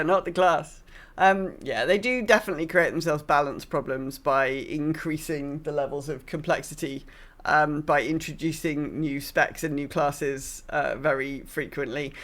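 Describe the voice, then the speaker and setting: A woman's voice: sits low, a bit bright. A woman hosting a podcast, talking casually about gaming with wry, sardonic asides. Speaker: podcast host. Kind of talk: casual discussion of a video game.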